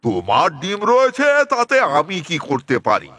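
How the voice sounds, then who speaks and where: A man's voice: a little high, wildly swooping pitch, unhurried, sharp tone, exaggerated voice. A man voicing an arrogant, callous big elephant in a children's animal fable, haughty and dismissive.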